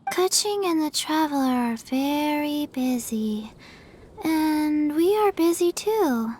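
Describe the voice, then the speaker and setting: Small, very slow deadpan girl. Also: sits high, a little hiss or hum. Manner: flat, halting, deadpan. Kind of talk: game character voice acting.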